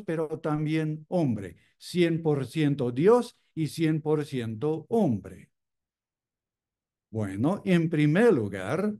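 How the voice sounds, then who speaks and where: A man preaching a sermon in Spanish, a native English speaker, in a slow, deliberate way with repeated phrases. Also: fairly high pitch, mellow.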